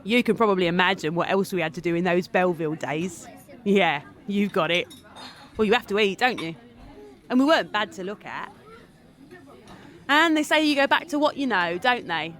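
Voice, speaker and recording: A woman's voice: mid-range voice, a bit bright, close to an everyday voice. Actress as a brash, slangy streetwise Parisian woman. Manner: earthy, slangy, sardonic. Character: brash streetwise Parisian woman, Edith Piaf's bitter companion Simone. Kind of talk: audio drama monologue and scenes.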